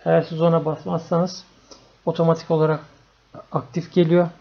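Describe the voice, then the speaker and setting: A man narrating an informal electronics tutorial in an unhurried, matter-of-fact way. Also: a little high, flat delivery, gravelly. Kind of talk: informal explanatory screencast narration. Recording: dull, lo-fi screencast audio.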